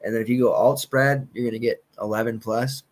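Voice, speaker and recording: A man in his thirties, losing his voice and clearing his throat, rambling casually through sports betting picks. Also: mid-range voice, steady tone, brisk. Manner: loose, rambling, confident picks with filler and restarts. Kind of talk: casual solo podcast commentary.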